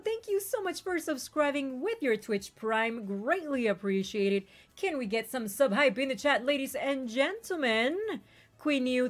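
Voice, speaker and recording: A woman streamer whose first language is not English, chatting excitedly with raiders and telling stories quickly. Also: sits high. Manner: excitable, bubbly, quick storytelling. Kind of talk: casual stream chat.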